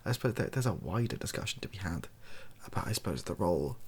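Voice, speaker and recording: A British man softly rambling through an improvised monologue, breathy and often near a whisper, with a wry, self-deprecating, hesitant manner. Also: low voice, lively intonation, fast talker. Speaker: video host.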